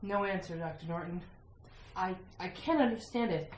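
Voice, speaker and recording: A woman's voice: lowish voice, moderate pace, close to an everyday voice. A woman playing a flustered, exasperated sheriff in an old-time radio drama, blustering and exclaiming. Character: flustered, gruffly exasperated small-town sheriff. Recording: echoey room with faint noise.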